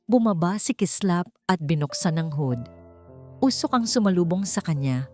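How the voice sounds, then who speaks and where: A woman reading a scripted romantic story as an audiobook narrator, in a measured delivery with deliberate pauses. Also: on the low side, lively intonation, darkish tone. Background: background music.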